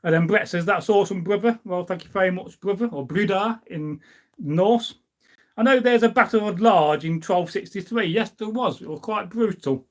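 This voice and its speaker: Man, middle-aged, fairly high voice, rambling casual live stream chat, anecdotal. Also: normal speed. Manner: informal, digressive, anecdotal. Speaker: live stream host, history enthusiast. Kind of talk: casual rambling chat, reading out and answering viewer comments.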